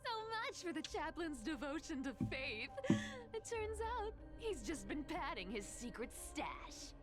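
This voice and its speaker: A woman's voice: sits high, sing-song, measured pace, over loud music. A woman voicing a sly, playful female thief who is greedy for treasure, musing aloud theatrically in a video game. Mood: gloating.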